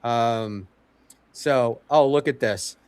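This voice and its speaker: A man with a nasal, stuffed-up voice talking on a casual podcast, his pitch right in the middle range for a man. He slips jokes in flatly and deadpan, and the sound is dry and close with no room around it. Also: slow pace, clean tone, dry recording. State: stuffy nose.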